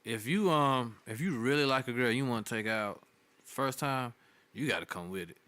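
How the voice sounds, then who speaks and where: Podcast host, man in his thirties, lively swinging delivery, dry close sound. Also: medium pitch, moderate pace, no echo. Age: 30s. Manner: laid-back, laughs often, Southern-tinged slang. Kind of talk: casual podcast chat.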